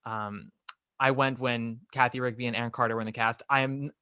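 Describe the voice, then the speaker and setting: Bright, candid young New man. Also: mid-range voice, little pitch movement, fairly quick, clear, resonant tone, no room sound, clean studio sound. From New York. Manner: candid, chatty, opinionated. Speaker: podcast host. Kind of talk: casual podcast interview.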